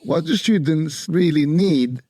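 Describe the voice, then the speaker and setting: A man hosting a podcast interview, asking questions and encouraging his guest with quick affirmations. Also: on the high side, animated, mellow, no echo, studio quality. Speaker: podcast host.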